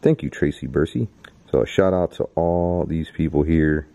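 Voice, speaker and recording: Deep-voiced man vlogging in the dark. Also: moderate pace, muffled recording. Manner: chatty, reading off names, dropping to a whisper in the dark. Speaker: YouTube host. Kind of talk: casual vlog narration. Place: dark abandoned stadium at night, indoors. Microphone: handheld camera held close.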